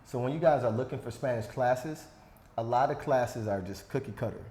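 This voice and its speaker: Easygoing host in an echoey room. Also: male voice, medium pitch, a little fast, mellow. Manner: easygoing, joking, self-deprecating asides. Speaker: podcast host. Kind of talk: casual interview chat.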